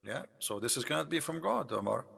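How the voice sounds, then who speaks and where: A man's voice: mid-range voice, sing-song, a little fast, creaky, a bit bright, breathy edge, long reverb tail. A man whose first language is Arabic, hosting a debate and pressing his opponent with mocking questions. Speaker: debate host. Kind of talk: live debate call.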